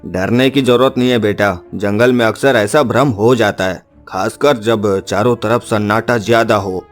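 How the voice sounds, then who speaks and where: A man's voice: lowish voice, moderate pace, soft background music, natural voice. A man playing a poor, protective woodcutter father, earnest and reassuring, in a cartoon story. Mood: cautious, calming.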